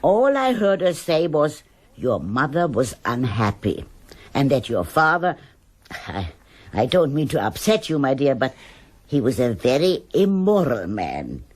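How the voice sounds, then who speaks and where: A woman's voice: extremely low pitch, big pitch swings, a little slow, darkish tone, husky, heavily acted. An actress playing a stubborn, judgmental older woman in a radio drama. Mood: reminiscing, disapproving. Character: stubborn, defensive old German woman, gossipy neighbour.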